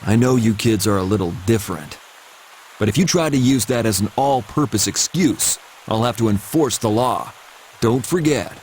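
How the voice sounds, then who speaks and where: A stern, lenient cop from an anime game dub, played by a man. He lectures firmly and takes his time, over a clear background hiss. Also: lowish voice, a little mellow, lightly acted, low-quality recording. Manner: firm, unhurried, admonishing. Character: stern but lenient adult policeman lecturing kids.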